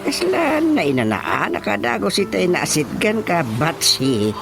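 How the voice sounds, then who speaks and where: A man with an Ilocano accent playing a bumbling, excitable village chairman in a comedic radio drama, stammering, muttering and exclaiming. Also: high-pitched, normal speed, vocal fry, background noise, exaggerated voice. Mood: flustered.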